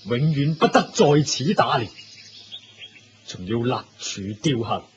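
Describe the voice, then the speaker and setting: A man's voice: mid-range voice, sing-song, measured pace. A man with a Hong Kong Cantonese accent playing a grave, compassionate hunting king in a Buddhist radio drama. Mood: commanding. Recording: dull lo-fi recording with faint noise.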